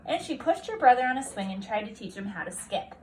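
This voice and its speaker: A woman's voice: on the high side, big pitch swings, quick pace, noisy background. A woman narrating a children's storybook aloud expressively, like a teacher reading to children at home. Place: at a kitchen table at home.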